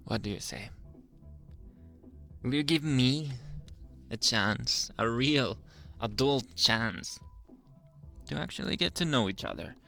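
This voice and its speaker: Slow-talking, flirty reformed bully played by a man. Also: mid-range voice, lively intonation, faint music behind, full character voice. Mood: flirty, hopeful. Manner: soft, halting confession with breathy chuckles and trailing phrases. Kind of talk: intimate ASMR roleplay monologue.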